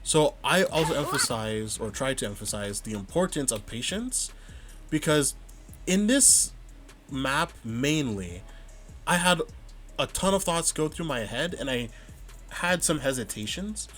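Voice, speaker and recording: A man calmly breaking down his own match footage, thinking aloud and stopping to rewind and check his plays. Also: medium pitch, a little slow, faint music behind. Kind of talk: casual vod review commentary.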